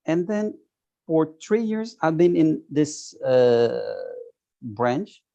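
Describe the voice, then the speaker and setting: A man in his thirties with a Turkish accent, a guest on a video call interview, talking haltingly and searching for words. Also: a little high, lively intonation, warm tone. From Turkey. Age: 30s. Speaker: guest, software tester. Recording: dull lo-fi video call audio.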